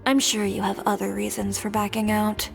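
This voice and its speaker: A woman's voice: mid-range voice, monotone, normal speed, creaky, faint music behind. An actress playing a wry, skeptical woman in a game, speaking with dry teasing.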